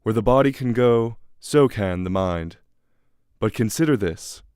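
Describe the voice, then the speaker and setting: Radio play narrator, played by a man: male, deliberate, philosophical, lowish register, dry close sound. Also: a little mellow, clean tone, no room sound, natural voice. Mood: musing, intriguing. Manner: deliberate, with dramatic pauses. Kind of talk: scripted dramatic narration.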